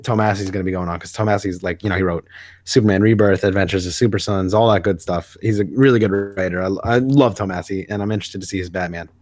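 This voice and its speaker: A man's voice: low-pitched, steady tone, fast talker, darkish tone, no room sound. Rambling man in casual podcast chat, dry sound. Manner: rambling, hesitant, self-correcting. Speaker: podcast co-host. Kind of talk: casual comics discussion chat.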